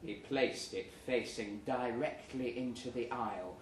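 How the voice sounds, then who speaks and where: A man's voice: mid-range voice, unhurried. Echoey man reading a comic story. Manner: performs the narrator as indignant and sardonic. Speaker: story reader. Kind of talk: dramatic comic short story reading to a live audience. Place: live reading event in a pub. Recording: echoey, noisy pub room, distant mic.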